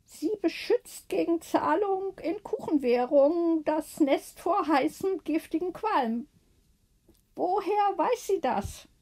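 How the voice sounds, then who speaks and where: Female narrator as elderly neighbour lady: lively, assured, knowing, pitched well up, bedtime audiobook. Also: takes it slowly, exaggerated voice. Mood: curious, wry. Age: older. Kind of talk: acted audiobook dialogue.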